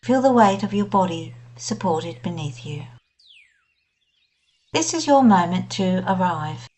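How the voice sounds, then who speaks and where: Slow, gentle woman guiding meditation. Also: lowish voice, expressive. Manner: gentle, soothing, unhurried phrasing. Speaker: meditation guide. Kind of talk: scripted guided meditation narration.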